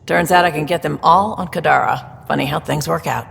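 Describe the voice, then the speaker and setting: A woman playing a pragmatic, world-weary colony official in a video game, with a gravelly, creaky voice. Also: sits low, a little fast, a bit bright, heavily acted. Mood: resigned, wry. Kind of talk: video game character acting. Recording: reverberant room, faint ambient noise.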